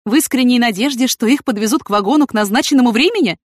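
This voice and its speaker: A woman's voice: on the high side, fast, no echo, clean studio sound, voice pushed up, natural voice. A woman voicing a jealous, clumsy male police investigator in an audiobook, complaining and reproachful. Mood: exasperated. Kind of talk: audiobook character acting.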